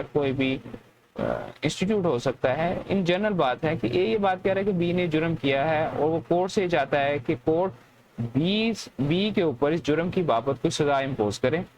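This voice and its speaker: Higher-pitched Pakistani man lecturing, noisy recording. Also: moderate pace, dull audio. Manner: didactic, repeats and rephrases points. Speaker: law lecturer. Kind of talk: explanatory lecture, reading clauses then explaining.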